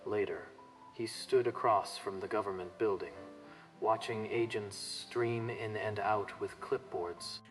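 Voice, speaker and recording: A man's voice: lowish voice, fairly even intonation, measured pace, soft background music, poor audio. A man narrating a dramatic manga story from a script.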